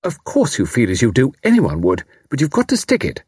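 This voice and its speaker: A man voicing a brisk, kindly young woman who speaks robustly and reassuringly. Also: medium pitch, wildly swooping pitch, darkish tone, husky, dry recording, studio quality, pitched above the performer's own voice. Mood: earnest.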